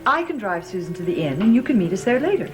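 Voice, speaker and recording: A woman's voice: medium pitch, very animated, quick pace, lightly acted. A woman acting in a vintage gothic television soap opera, playing a gracious yet secretive lady on an old soundtrack. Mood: concerned. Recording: old TV soundtrack under loud music.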